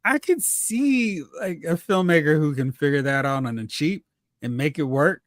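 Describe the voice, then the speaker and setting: A man hosting a casual panel chat in a slangy, animated way. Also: a little high, moderate pace, no echo. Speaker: podcast host. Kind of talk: casual panel chat about pop culture.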